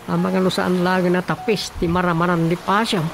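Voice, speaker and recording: An actor playing a villager eager to spread scandal in a Filipino radio drama, with a Filipino accent. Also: male voice, sits high, flat delivery, brisk, gravelly, background noise. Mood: gossiping.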